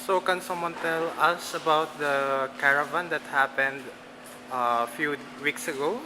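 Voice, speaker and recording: Hesitant male interviewer, halting questions, noise behind. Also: on the high side. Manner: hesitant, filler-heavy questioning. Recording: clear background noise.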